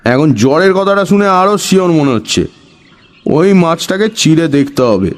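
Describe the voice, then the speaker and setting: A man's voice: a little high, lively intonation, moderate pace, lightly acted. A man playing an eccentric, bossy village know-it-all in an audio story, scolding and conspiratorial with dramatic emphasis. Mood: urgent, conspiratorial. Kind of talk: Bengali audio story acting.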